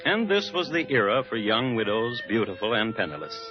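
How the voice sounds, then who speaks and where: An actor playing a droll gentleman connoisseur of crime, narrating deadpan with deliberate phrasing in 1940s radio style. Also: fairly low pitch. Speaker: host and narrator. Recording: dull vintage radio recording with music behind.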